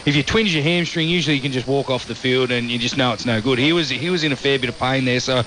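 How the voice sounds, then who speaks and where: An Australian man giving measured analysis on a radio panel, with many fillers. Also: mid-range voice, fairly bright tone, slight background noise, rough recording. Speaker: radio rugby league commentator.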